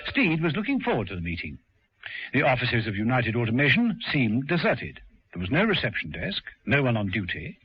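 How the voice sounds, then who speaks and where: Measured male radio narrator. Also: medium pitch. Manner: measured, storytelling delivery. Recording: dull vintage radio recording with music.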